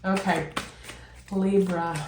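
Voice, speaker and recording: A woman reading tarot cards with a raspy, slightly hoarse voice as she recovers from being sick, speaking in a soothing, reflective way. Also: fairly low pitch, fairly even intonation, reverberant, noisy background. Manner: slow, soothing, reflective delivery. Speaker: tarot reader.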